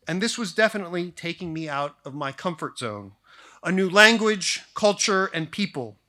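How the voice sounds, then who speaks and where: Bright-voiced American man giving a formal speech. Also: high voice. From grew up in Japan, then suburban Detroit, US. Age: 40s. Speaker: school faculty member giving a valedictory speech. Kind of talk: prepared formal speech to graduating class.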